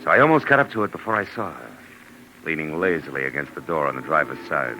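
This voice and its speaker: Deep-voiced, hardboiled American private eye. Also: male voice, animated, fast talker, bright, forceful tone, faint music behind, full character voice, poor audio. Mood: brooding narration. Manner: clipped, sardonic, slangy. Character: tough, wisecracking male private detective, cynical and pushy. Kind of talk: 1940s radio noir acting, hardboiled narration. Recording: hissy 1940s radio recording.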